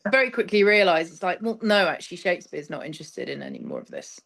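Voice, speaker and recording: A woman's voice: lowish voice, lively intonation, fast, gravelly, fairly bright tone. A woman speaking as a theatre director in a casual interview, thoughtful and discursive, letting phrases trail as she explains. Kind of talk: casual interview chat about stage work.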